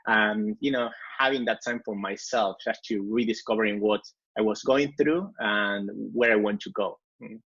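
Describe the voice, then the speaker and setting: A man's voice: on the low side, normal speed, hardly any pauses, fairly bright tone, breathy edge, highs cut off. A man in his forties from Argentina with an Argentine Spanish accent, answering interview questions in a reflective way, full of "you know" fillers. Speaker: guest.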